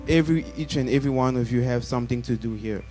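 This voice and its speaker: A man with a mid-range voice interprets a sermon live in church. He delivers it in short, halting chunks, often rephrasing himself. Faint music runs underneath. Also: mid-range voice, a little mellow. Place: church, before a congregation.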